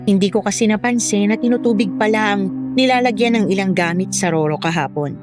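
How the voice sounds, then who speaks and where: A woman's voice: medium pitch, a little fast. A young woman giving scripted first-person narration of a horror story. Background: music.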